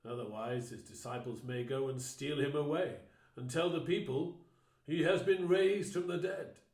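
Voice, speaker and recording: A man's voice: a little high, moderate pace, darkish tone, natural voice. A man voicing the chief priests in a dramatised Bible reading, stern and scornful. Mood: scheming. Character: scheming chief priests, grown men. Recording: roomy echo.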